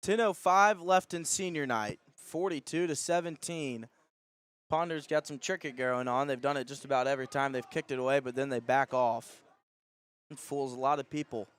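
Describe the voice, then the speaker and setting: A man calling live high school football as a radio play-by-play announcer. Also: normal speed, fairly bright tone, clean tone.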